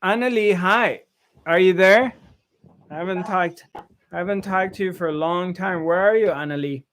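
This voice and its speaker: A man's voice: high-pitched, moderate pace, a bit bright, a little hiss or hum. A man hosting a casual online group chat, often repeating and stammering over his words. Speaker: host, spiritual teacher. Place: online video call.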